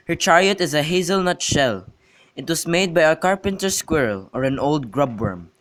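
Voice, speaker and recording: A young man in an amateur radio drama, playing a witty, teasing young gentleman and declaiming in a bantering way. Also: mid-range voice, close to an everyday voice. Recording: clearly noisy amateur recording with clicks.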